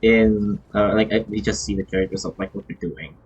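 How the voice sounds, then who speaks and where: A man giving casual live-stream game commentary, thinking aloud in a halting, rambling way. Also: fairly low pitch, darkish tone, slightly rough. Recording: dull, lo-fi stream microphone.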